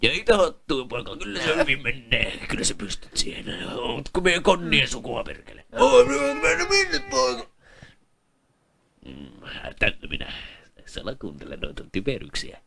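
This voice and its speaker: A man voicing a bossy, foul-mouthed crook of a father in an amateur comedy cartoon dub, gruff and cajoling. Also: on the high side, wildly swooping pitch, bright, forceful tone, exaggerated voice. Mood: coaxing, gruff. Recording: noisy home dub with clicks.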